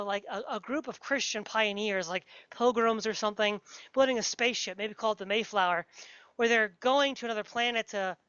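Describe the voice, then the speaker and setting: Dull-sounding, high-voiced woman chatting casually. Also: fairly bright tone, no room sound. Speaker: podcast interviewer. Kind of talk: casual podcast interview chat. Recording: dull, lo-fi podcast audio.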